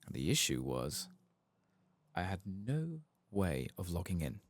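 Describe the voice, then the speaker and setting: A man giving casual, rambling, wry and sweary soft-spoken ASMR commentary on a game, close to the mic. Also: deep voice, huge pitch swings, measured pace, no room sound. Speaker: host of a game playthrough channel.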